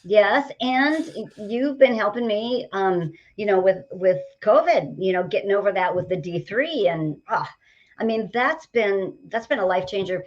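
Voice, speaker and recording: A woman in her late sixties, a motivational coach, talking as a podcast guest in an upbeat, energetic way with stories and examples. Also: medium pitch, a little slow, lo-fi sound. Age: 60s. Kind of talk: podcast conversation.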